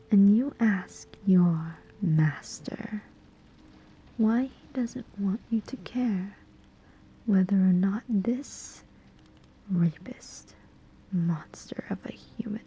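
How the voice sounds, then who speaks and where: A woman's voice: mid-range voice, mellow, rough recording. A woman playing a sly, menacing female demon who collects souls, in a teasing whispered ASMR roleplay. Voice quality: breathy whisper. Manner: slow, deliberate, teasing.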